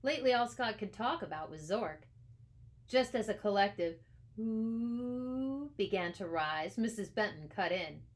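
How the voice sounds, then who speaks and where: A woman author reading a storybook aloud in expressive, scripted narration. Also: mid-range voice, normal speed. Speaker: author reading their own book aloud.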